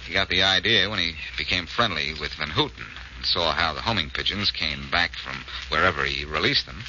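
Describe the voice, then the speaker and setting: An actor playing a confident, wisecracking amateur detective in 1940s radio drama style, wry and self-assured. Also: male voice, low voice, brisk, natural voice. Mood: explaining. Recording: muffled, noisy old radio recording.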